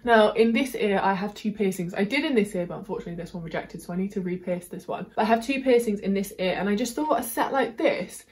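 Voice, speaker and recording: A bubbly British woman in her twenties talks straight to the camera from her home, chatty and rambling. Her voice sits at an ordinary middle pitch, and her talk is full of "like" and "kind of". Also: fast talker, few pauses, darkish tone. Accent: British English. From United Kingdom. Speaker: beauty and fashion vlogger. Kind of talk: chatty try-on haul.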